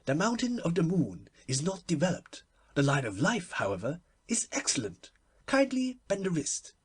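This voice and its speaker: A performer voicing a solemn, obsequious little palm-reading man, speaking in a deferential, precise, measured way in a storybook. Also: mid-range voice, sing-song, slightly rough, voice pushed up. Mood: solemn, courteous.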